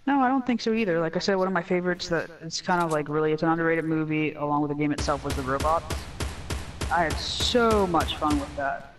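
A man's voice: a little high, quick pace, over music. A young man chatting casually in slangy, conversational talk while playing a video game. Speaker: gaming show co-host.

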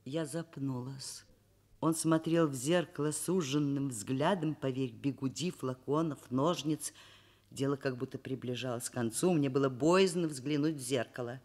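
A woman's voice: extremely low pitch, normal speed, a little mellow. An actress playing a frank, kindly middle-aged professor in a Soviet radio play, wry and conversational as she talks and narrates. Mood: musing.

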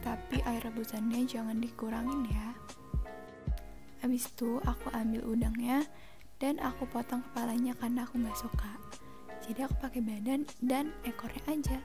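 A young woman from Indonesia narrating her daily vlog as a calm, unhurried voice-over, recorded separately in a quiet room. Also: on the high side. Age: young adult. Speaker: vlogger. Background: soft background music.

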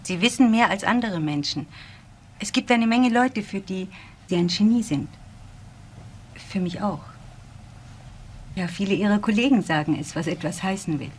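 An actress playing a devoted, admiring assistant to a professor in a crime radio play, earnest and subdued. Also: female voice, mid-range voice, expressive, moderate pace, pauses often, a little hiss or hum, lightly acted.

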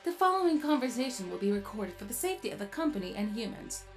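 A woman's voice: fairly high pitch, sing-song, fast talker. A woman voicing a formal automated company system in a comic dub, procedural in manner. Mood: impersonal. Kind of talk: scripted announcement in comic dub. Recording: music behind the voice.

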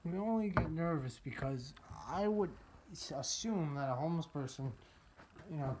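A man's voice: a little high, animated, normal speed. Nervous young man on noisy handheld recording. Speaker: video host exploring abandoned houses. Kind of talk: casual handheld exploration commentary. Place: inside a cluttered abandoned house.

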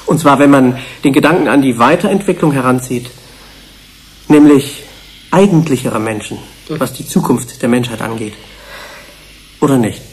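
A man playing a reflective, earnest research scientist in a radio play, with a deliberate, moralizing delivery. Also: medium pitch, lightly acted. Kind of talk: German radio play acting.

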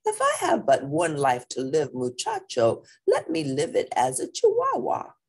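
A woman voicing an excitable little boy kitten in a storybook, theatrical and playful with mock-Spanish flourishes. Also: low-pitched, a little slow, husky, exaggerated voice.

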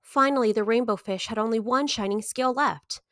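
A woman in her thirties reading to children as a story time host, with expressive, clearly phrased delivery. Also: fairly high pitch, brisk, no room sound, clean studio sound. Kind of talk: children's picture book read-aloud.

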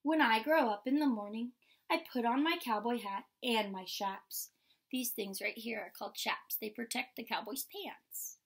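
A young adult woman reading a picture book aloud like a teacher, in a measured, instructional way. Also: a little high, husky. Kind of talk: picture-book read-aloud with short explanations. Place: indoor room with painted mural wall.

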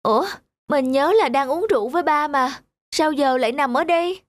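A woman voicing a headstrong, carefree young daughter in an animated moral tale, girlish and expressive. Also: high voice, fairly quick, close to an everyday voice. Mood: reflective.

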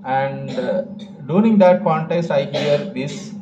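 A man's voice: on the high side, slow, warm tone. A man in his thirties from India, a priest giving a homily in a loose, conversational way with many fillers. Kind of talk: sermon. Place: chapel with stage curtains. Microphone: stand mic on a gooseneck at the chest. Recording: roomy, distant and dull, with background hiss.

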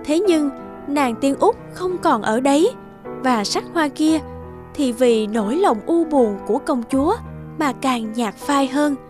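High-voiced woman narrating a cartoon tale. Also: expressive, unhurried, music in the background. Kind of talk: scripted storybook narration for a cartoon.

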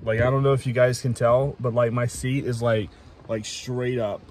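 A man's voice: mid-range voice, level tone, moderate pace, darkish tone. A young man in his twenties giving a casual vlog update from inside a parked car, hesitant with many fillers and restarts. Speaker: vlogger. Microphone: phone camera close to face. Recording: faint rain noise in car. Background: rain and car ambience.

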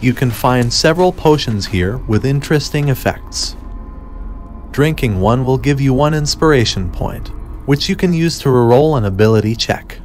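A man reads a scripted game walkthrough in a mid-range voice. His delivery is measured and instructive, with faint noise and game ambience behind him. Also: mid-range voice, animated, darkish tone. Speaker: video game guide narrator. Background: video game ambience.

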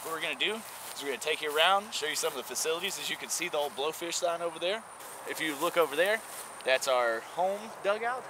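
A man's voice: a little high, animated, fast. A young man giving a casual, folksy guided tour to camera, recorded outdoors at an abandoned baseball stadium. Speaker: urban exploration vlogger. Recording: outdoor camera audio with noise.

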